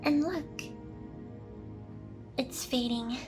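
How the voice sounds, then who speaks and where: A woman's voice: high-pitched, drawn-out words, over loud music. A woman playing a smug, seductive, possessive demon boss in an ASMR roleplay, with a teasing, theatrical delivery. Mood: intense, vulnerable.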